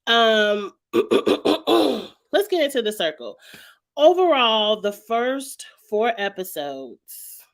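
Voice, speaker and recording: A woman hosting a casual review vlog, chatty and emphatic, her voice slightly raspy and stuffed up from a cold. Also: on the high side. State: lingering cold with sinus congestion, clearing throat often. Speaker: YouTube show host reviewing reality TV. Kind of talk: casual vlog commentary to viewers.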